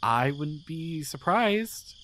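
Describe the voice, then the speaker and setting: A performer voicing a gentle, devoted guardian angel in a romantic ASMR roleplay, speaking tenderly and hesitantly with earnest pauses. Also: mid-range voice, lively intonation, slight background noise. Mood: nervous, confessional. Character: gentle, devoted guardian angel, newly feeling, shyly in love.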